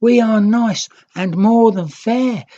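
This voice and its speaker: A woman's voice: a little high, takes it slowly, dark tone, lo-fi sound, voice pushed up. A woman voicing a cheerful, kindly young green nix creature in a children's storybook, eager to share. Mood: cheerful, coaxing.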